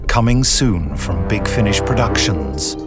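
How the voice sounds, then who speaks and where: A male announcer reads promotional trailer lines. His voice is deep and sits over loud, dramatic music. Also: expressive, a little slow.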